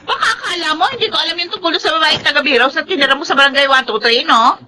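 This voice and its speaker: A woman playing a jealous second wife in a radio drama, shouting accusations in a shrill, piercing voice. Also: fast, close to an everyday voice. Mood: accusing.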